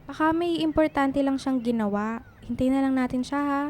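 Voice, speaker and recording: An actress playing a young woman, a caring and sensible friend, in a radio drama, expressive and matter-of-fact. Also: sits high, moderate pace, close to an everyday voice. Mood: reassuring.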